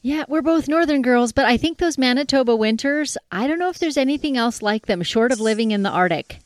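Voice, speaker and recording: A woman's voice: high voice, animated, fairly quick, no room sound. A woman from northern British Columbia, hosting a casual interview in a chatty, affirming way. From northern British Columbia, Canada. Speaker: interviewer, host.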